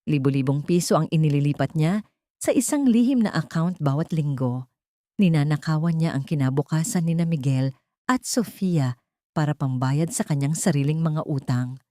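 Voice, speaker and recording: A woman's voice: low-pitched, normal speed, mellow, no echo, studio quality, close to an everyday voice. A woman with a Filipino accent voicing an earnest, urgent male lawyer in an audiobook drama. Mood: grave, urgent. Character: earnest male lawyer, alarmed ally exposing a theft. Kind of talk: audiobook character dialogue.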